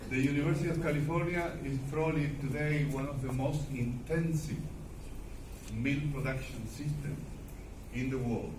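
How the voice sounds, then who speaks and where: A man's voice: on the high side, steady tone. Older Argentine-accented man lecturing in echoey room. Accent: Argentine Spanish. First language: Spanish. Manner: halting, deliberate phrasing in non-native English. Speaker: animal nutrition specialist giving a guest lecture. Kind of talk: academic seminar presentation. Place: seminar room before an audience. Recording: echoey room, distant mic, background noise.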